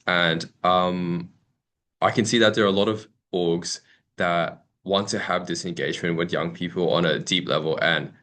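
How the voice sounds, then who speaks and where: Low-pitched young man presenting. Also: steady tone, moderate pace, a bit bright. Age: young adult. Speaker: youth committee member presenting. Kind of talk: informal presentation to an online meeting.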